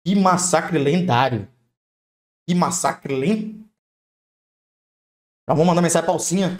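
A young Brazilian man doing excited live game commentary, repeating himself and swearing in slang. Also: a little high, measured pace. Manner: excitable, repetitive, heavily profane slang. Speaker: gaming streamer. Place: indoor room, webcam streaming setup.

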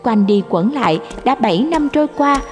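A woman reading scripted narration for an animated fairy tale. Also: a little high, normal speed, faint music behind.

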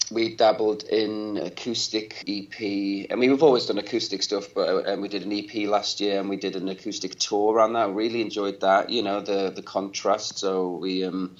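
A bassist in his thirties whose first language is Welsh, giving thoughtful, halting answers over a video call. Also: flat delivery, muffled recording. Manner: thoughtful, searching for words, many restarts. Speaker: bassist guest. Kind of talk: casual interview answers. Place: home room. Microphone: laptop video call.

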